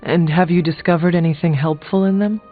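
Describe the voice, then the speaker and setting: Game warrior hero, male actor: stern, resolute, blunt, formal diction, somewhat high male voice, narrow phone-like sound. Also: fairly even intonation, fairly quick, natural voice. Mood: urgent. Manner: formal, uncontracted speech, solemn, blunt. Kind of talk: video game character dialogue. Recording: thin phone-like audio, music behind.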